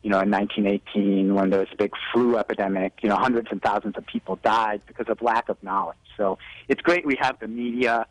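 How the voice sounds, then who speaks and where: A man's voice: on the low side, fairly even intonation, brisk, dry recording, rough recording. A man speaking as a doctor in a live telephone news interview, explaining health advice and often saying "you know". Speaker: physician guest expert on a news segment. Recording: telephone interview audio on TV broadcast.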